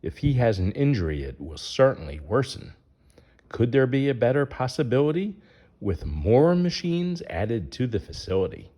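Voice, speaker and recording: A man's voice: medium pitch, sing-song, normal speed, mellow. A man reading a rhyming children's picture book aloud in a sing-song way, putting questions to young listeners.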